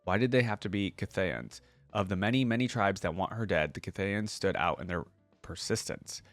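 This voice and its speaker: A man's voice: low-pitched, normal speed, dry recording, soft background music. A man hosting a reaction video, reading stories aloud and commenting in a laid-back, colloquial fan style. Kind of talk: casual commentary and story reading.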